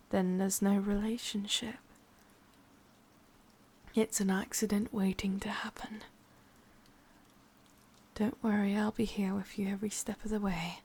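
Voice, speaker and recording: A woman voicing a sadistic, possessive witch lover in a breathy, husky whisper with an English accent, intimate and teasing, for an ASMR roleplay. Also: mid-range voice, a little mellow.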